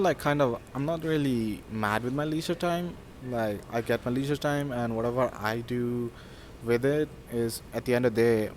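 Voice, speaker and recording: A young man in his twenties, raised in Pakistan with Sindhi as his first language, answers questions casually, hedging and filling pauses as he goes. His voice sits at an ordinary pitch for a man. A faint noise runs under the recording. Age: 20s. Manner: relaxed, hesitant, many fillers. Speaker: interviewee, student.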